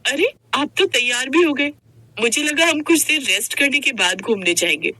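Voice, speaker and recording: A woman's voice: sits high, expressive, moderate pace, pushed and bright, pitched above the performer's own voice, exaggerated voice. A woman voicing a young newlywed wife in a story, hurt and polite but turning defiant, speaking with dramatic expression. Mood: surprised, anxious.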